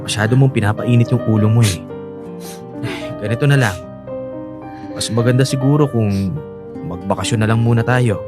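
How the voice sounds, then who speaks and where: An actor playing an upbeat, reassuring young husband in a Filipino radio drama, coaxing and encouraging with a Filipino accent. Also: male voice, mid-range voice, moderate pace, pauses often, natural voice. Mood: soothing, coaxing. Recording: music bed under the voice.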